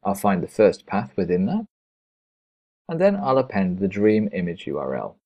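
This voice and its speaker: A man's voice: deep voice, wildly swooping pitch, moderate pace, warm tone, highs cut off. A man narrating a step-by-step programming screencast as an instructor, in a methodical, explanatory way.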